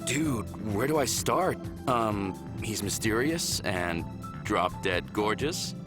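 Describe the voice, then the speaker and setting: An actor playing a young, smitten and easily flustered spaceship pilot in a visual novel game, expressive and a little awkward. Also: male voice, fairly low pitch, slow pace, over music.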